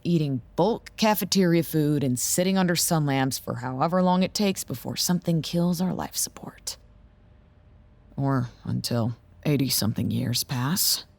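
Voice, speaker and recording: Weary, gravelly scientist in charge played by an actor. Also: mid-range voice, moderate pace, a little mellow, no echo, close to an everyday voice. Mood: bleak, resigned. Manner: dry, sardonic, resigned. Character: weary, guilt-laden scientist and acting site director, bitter and curt. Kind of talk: audio drama acting.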